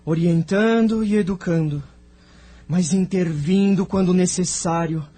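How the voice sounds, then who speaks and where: A man's voice: sits high, darkish tone. A man narrating an audio drama from a script in a solemn, dramatic manner.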